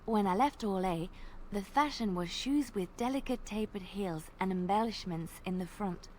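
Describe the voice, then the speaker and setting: A woman's voice: mid-range voice, normal speed, a little hiss or hum, lightly acted, low-quality recording. A woman voicing a dreamy, playful bard. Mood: fond. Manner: soft, lilting, fond of detail. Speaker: game companion character.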